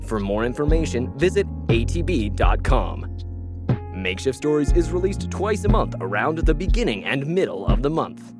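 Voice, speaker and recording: A man's voice: medium pitch, sing-song, normal speed. A man reading scripted podcast sponsor messages and credits as announcer, over music.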